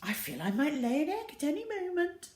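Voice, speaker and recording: Lady doing a fussy little mum from a kids storybook, high dramatic wailing, roomy echo. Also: sing-song, a little fast, darkish tone. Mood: delighted. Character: tiny fussy, distraught mother, Missus Gregg.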